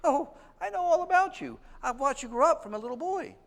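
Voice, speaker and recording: A whimsical elderly angel in a 1940s-style radio drama performed live on stage. His lively, swooping voice sounds cheerful and a little fussy. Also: very high voice, fairly quick, vocal fry, in a large hall, exaggerated voice. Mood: kindly. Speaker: actor in a live radio play. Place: theater stage with audience.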